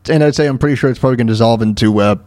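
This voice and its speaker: A man's voice: mid-range voice, racing, a little mellow, no room sound, clean studio sound. A man hosting a talk radio show, commenting casually in a rambling, exclamatory way and repeating his phrases.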